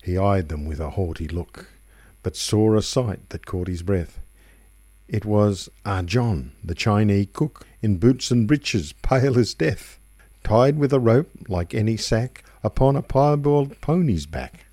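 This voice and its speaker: A man reciting a rhyming tale as a radio storyteller, in measured, rhythmic phrases. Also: lowish voice, lively intonation, mellow, no room sound. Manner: measured, rhythmic verse delivery. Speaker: radio reader. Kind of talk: expressive poetry recitation, storytelling.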